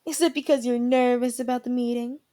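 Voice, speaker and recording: A woman playing a calm, supportive royal attendant in a fantasy audio roleplay, giving gentle and earnest formal counsel. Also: on the high side, fairly quick, natural voice. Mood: concerned, encouraging.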